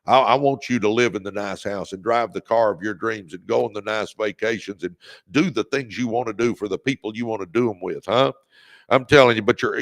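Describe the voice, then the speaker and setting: A man in his fifties hosting a live-stream podcast, delivering an energetic, repetitive pep talk in a booming voice. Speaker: podcast host.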